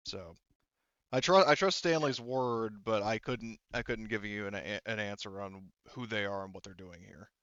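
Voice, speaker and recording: A man running a tabletop roleplaying game, narrating in a halting, conversational way and paraphrasing what characters say. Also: on the low side, fairly bright tone, clean tone, no echo, lo-fi sound. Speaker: game master.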